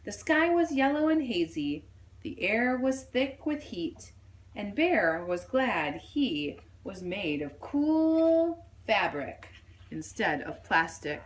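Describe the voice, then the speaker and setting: Slow, lively woman reading a storybook. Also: mid-range voice. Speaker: storybook reader. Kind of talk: expressive children's story read-aloud.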